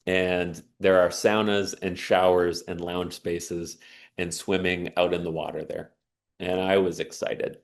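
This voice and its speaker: A man's voice: low voice, little pitch movement, a little slow. A man giving an earnest, emphatic inspirational talk and telling a personal story. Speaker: speaker on sauna and resilience.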